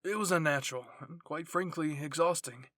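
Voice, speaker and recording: A man's voice: on the high side, normal speed, pushed and bright, dry recording, studio quality. A man voicing an intense, blunt and protective teenage boy soldier in an anime fan fiction roleplay. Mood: blunt, teasing.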